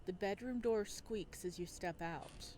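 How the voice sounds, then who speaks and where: A woman's voice: medium pitch, unhurried, noisy background. A woman hosting a game playthrough, reading narration aloud dramatically and adding casual commentary. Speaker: video game playthrough host.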